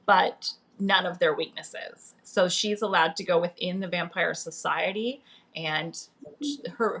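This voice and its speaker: A woman's voice: on the low side, rough recording. A woman speaking as a guest author in a casual livestream, playful and informal in manner. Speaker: author guest on a book live stream.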